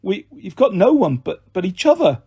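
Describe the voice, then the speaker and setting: A man's voice: sits high, very animated, moderate pace, mellow, slightly rough, pitched above the performer's own voice, heavily acted. A man voicing a frightened but defiant young girl in a story, anxious and blunt.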